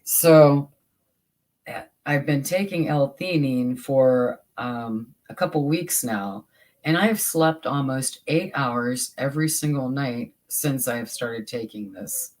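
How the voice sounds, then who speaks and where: Soothing voice, middle pitch, very slow, halting casual chat, livestream host. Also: darkish tone. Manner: slow and halting, with many repeated starts. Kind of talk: casual chat while crafting, reading chat.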